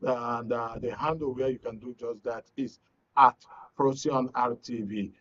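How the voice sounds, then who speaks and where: A man's voice: medium pitch, fairly even intonation, measured pace, a bit bright. Male Nigerian programme host, mid-range voice, live presenting style, lo-fi broadcast sound. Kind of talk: live current affairs presenting. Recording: dull lo-fi broadcast audio.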